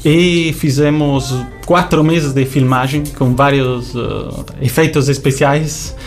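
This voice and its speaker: A man's voice: fairly high pitch, steady tone, slow pace, faint music behind. A man from Italy speaking as an interview guest in non-native speech, with an Italian accent touched by Brazilian, explaining his work. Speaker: interview guest, visual show creator.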